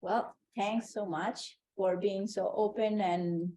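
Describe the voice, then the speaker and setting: A woman's voice: mid-range voice, steady tone, takes it slowly, dark tone, low-quality recording. A woman moderating a conference panel, playful and teasing, laughing easily. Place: conference room.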